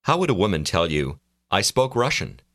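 A man narrating a language course, calmly prompting the learner to repeat phrases. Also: deep voice, normal speed, dry recording, studio quality.

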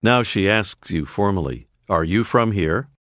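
A man narrating a scripted language course, clearly prompting the listener to repeat phrases. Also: lowish voice, expressive, takes it slowly, a bit bright, no room sound. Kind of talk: scripted audio lesson instructions. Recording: dry studio voice-over.

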